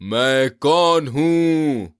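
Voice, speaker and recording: A man's voice: on the high side, clean tone, no echo, clean studio sound, pitched above the performer's own voice. A man voicing a menacing house ghost in a cartoon horror comedy, stretching out the words in a spooky way. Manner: very slow, drawn-out, spooky. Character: menacing male house ghost posing riddles. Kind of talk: animated horror-comedy story acting.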